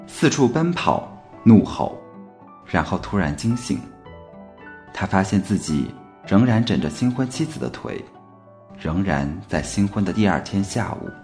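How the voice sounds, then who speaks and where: A man's voice: sits low, darkish tone. A man narrating a scripted story for an audio drama. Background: music.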